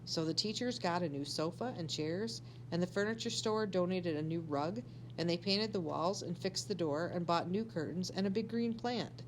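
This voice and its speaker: A woman with a slightly low voice reads a children's story aloud in an expressive, animated way. She sounds like a teacher telling a tale to pupils. There is faint noise and hum in the recording. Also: normal speed, low-quality recording. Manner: animated, acts out dialogue.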